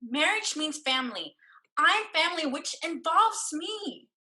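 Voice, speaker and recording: High, bright, anxious stubborn sister played by a woman. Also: sing-song, a little slow, exaggerated voice. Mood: outraged refusal. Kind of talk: staged play reading.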